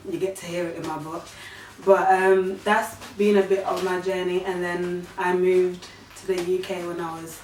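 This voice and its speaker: Soft-spoken woman from Uganda, low-pitched. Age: 30s. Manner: soft-spoken, hesitant, many fillers. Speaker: guest. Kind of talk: casual storytelling. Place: living room, sitting on a sofa. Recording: echoey room, far from mic, faint hiss.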